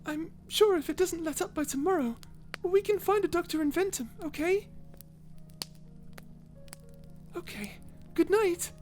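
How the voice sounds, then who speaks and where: A woman voicing a cute, feisty little mouse in a character audio roleplay, earnest, proper and excitable. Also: very high voice, normal speed, soft background music, pitched above the performer's own voice. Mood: subdued, earnest. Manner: excitable, indignant, proper, stammering.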